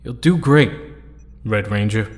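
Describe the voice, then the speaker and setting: A man playing a warm, encouraging young hero in an audio drama, talking casually like a good buddy. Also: lowish voice, a little slow, natural voice. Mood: encouraging, fond. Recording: long hall reverb.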